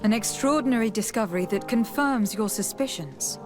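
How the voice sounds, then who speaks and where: A poised noblewoman envoy played by a woman has a fairly high voice. She is courteous, composed and formal in her questions, as a game heroine with faint music behind her. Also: lively intonation, moderate pace, natural voice. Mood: composed, interested. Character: poised young noblewoman diplomat, courteous and inquiring. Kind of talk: scripted video game dialogue.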